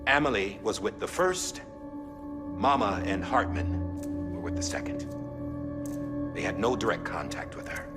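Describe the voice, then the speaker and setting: Wry masked boss man, slow suspicious monologue, game cutscene, music behind him. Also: medium pitch, wildly swooping pitch. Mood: suspicious, pointed. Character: masked male director, guarded, wry, deliberate. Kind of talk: pre-recorded video message monologue in game cutscene.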